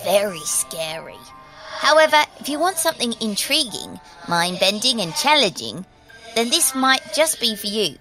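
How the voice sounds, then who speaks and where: Female podcast host for scripted intros; bright, lively intonation, faint music beneath. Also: mid-range voice, normal speed, rough recording. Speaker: show host.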